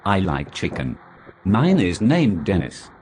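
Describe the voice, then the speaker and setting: A man delivering an absurd scripted monologue in a deadpan manner. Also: deep voice, slow. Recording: noisy recording.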